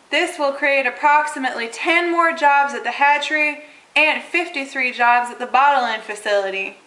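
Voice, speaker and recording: A woman's voice: sits high, bright, forceful tone. A woman playing an insistent advocate of local development, reading scripted lines in an amateur puppet show. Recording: roomy, echoing room sound.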